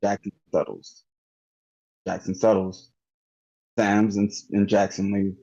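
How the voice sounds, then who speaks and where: A man's voice: on the low side, flat delivery, takes it slowly, creaky, warm tone, slightly rough. A man reading stage directions as scripted narration at a table read over an online call. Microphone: online meeting call. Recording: dull, muffled online call.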